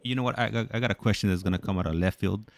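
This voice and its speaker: An American man hosting a casual interview, phrasing his questions in a halting, hesitant way. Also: on the low side, gravelly, a little hiss or hum. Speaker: host interviewing a caller.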